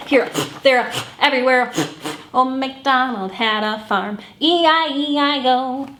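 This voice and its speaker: Lady doing a kids singalong story time, high voice, really animated, echoey room. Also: a little hiss or hum. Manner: animated, acts out animal sounds and song refrains. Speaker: children's story time host at a library. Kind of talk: sung picture-book read-along for children.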